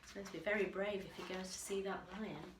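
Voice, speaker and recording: A woman reading a children's picture book aloud like an infant school teacher, animated and emphatic, with chatty asides. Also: medium pitch, background noise. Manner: animated, deliberate storyteller with emphatic delivery. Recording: roomy, echoey indoor sound.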